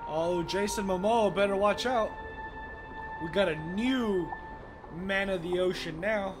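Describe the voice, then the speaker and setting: A man's voice: high-pitched, measured pace. A young man with a sore throat and a partly lost voice, chatting casually as he plays a game and reacting with awe. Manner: awed, chatty, easily amazed. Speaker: gaming YouTuber. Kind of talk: casual let's play commentary. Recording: gameplay music underneath. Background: calm game music.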